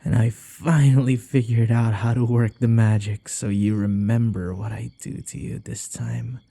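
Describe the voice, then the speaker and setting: A man playing a possessive, teasing vampire in an ASMR roleplay, with a breathy voice that often drops to a whisper and a coaxing, taunting manner. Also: medium pitch, fairly even intonation, unhurried, warm tone. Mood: taunting, playful. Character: possessive, teasing male vampire who hypnotizes his captive.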